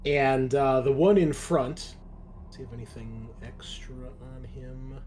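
A man's voice: mid-range voice, measured pace, a little mellow. A man improvising narration as game master of a tabletop game in a conversational way. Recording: faint background noise.

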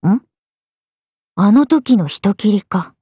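A woman's voice: mid-range voice, expressive, slow pace, warm tone, husky, dry recording, clean studio sound, exaggerated voice. A woman voicing a young swordswoman character in a video game. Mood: calm, blunt. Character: swordswomen: a lively young one and her stoic alter self.

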